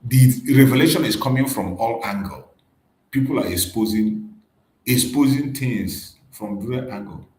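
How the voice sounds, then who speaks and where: A man's voice: medium pitch, expressive, moderate pace, darkish tone. A man giving emphatic commentary on a livestream, repeating his key points. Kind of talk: commentary on played clips. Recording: echoey room.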